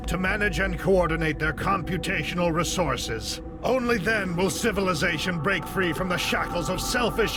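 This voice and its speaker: An actor playing a grieving, zealous AI researcher turned hostage-taker in a video game cutscene, delivering grandiose speeches. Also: male voice, on the high side. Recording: music behind the voice.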